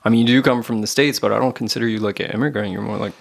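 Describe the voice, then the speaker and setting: A man hosts a podcast conversation in a fairly low voice with a strong gravelly fry. He speaks hesitantly, searching for words and restarting his phrases. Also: steady tone, racing. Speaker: podcast host. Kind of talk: casual interview conversation.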